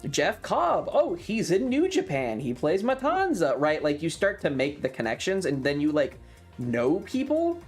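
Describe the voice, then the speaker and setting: Mid-pitched voice, casual streamer, rambling fan chat, enthusiastic, colloquial, music in the background. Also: a little mellow. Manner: chatty, enthusiastic fan talk with colloquial swearing. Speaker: streamer and content creator.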